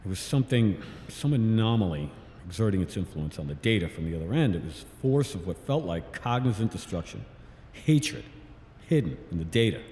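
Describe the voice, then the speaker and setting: An actor playing a haunted middle-aged physicist, grave and dramatic, in a sci-fi audio drama. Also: male voice, sits low, dark tone. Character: haunted middle-aged physicist father leading a science team. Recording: echoey reverberant room, faint noise.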